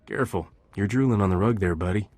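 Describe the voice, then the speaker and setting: A man's voice: lowish voice, fast, darkish tone, no room sound. An actor playing a cocky, wisecracking male smuggler captain in a video game, flippant and dry. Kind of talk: video game cutscene acting.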